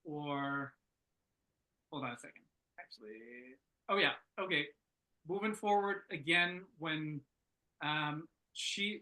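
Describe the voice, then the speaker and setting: A man giving casual workshop feedback, thinking aloud in a halting, informal and enthusiastic way. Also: a little high, bright, forceful tone, poor audio. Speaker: script reader giving feedback.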